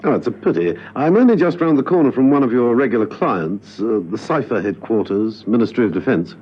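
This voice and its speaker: An actor playing an urbane, unflappable British gentleman spy in a radio drama, polite and clipped. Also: medium pitch, expressive, a little fast, close to an everyday voice. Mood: courteous. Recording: muffled lo-fi radio, loud engine noise.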